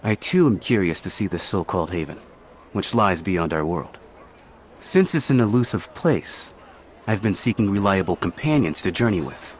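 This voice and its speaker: Well-off, courteous official in a game cutscene; lowish voice with lively inflection, formal and polite. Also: male voice, moderate pace, a little hiss or hum. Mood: earnest, measured. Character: courteous, wealthy male official seeking travel companions. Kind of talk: video game cutscene acting. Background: background music.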